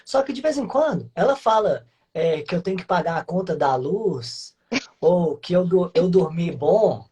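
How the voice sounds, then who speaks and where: A man hosting a casual interview, relaxed and encouraging, often adding tag questions. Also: sits high, moderate pace, breathy edge. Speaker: host, exam-prep course teacher.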